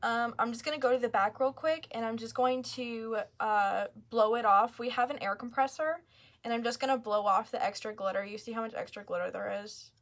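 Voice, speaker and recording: A woman's voice: fairly high pitch, fairly even intonation, brisk, a bit bright. A woman casually hosting a live craft tutorial, chatting informally as she explains each step. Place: craft store.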